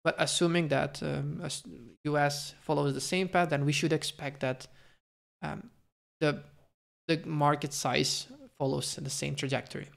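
A man's voice: fairly high pitch, little pitch movement, a little slow, creaky. A young man hosting a finance channel, talking through a stock valuation over slides and thinking aloud with fillers, restarts and careful hedging. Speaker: finance video host.

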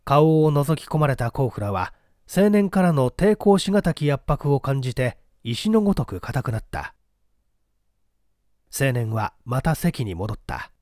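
A man's voice: mid-range voice, very animated, a little slow, darkish tone, no echo, studio quality. A man reading a mystery story aloud as a dramatic, scripted narration. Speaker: audiobook reader.

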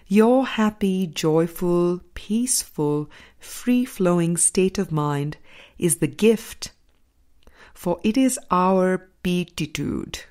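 A woman reading a scripted spiritual audiobook in a measured, deliberate way, pausing between phrases. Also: lowish voice, animated, darkish tone. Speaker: audiobook reader.

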